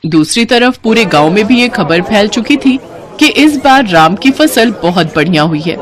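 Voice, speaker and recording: Expressive woman narrating a moral story. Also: medium pitch, moderate pace.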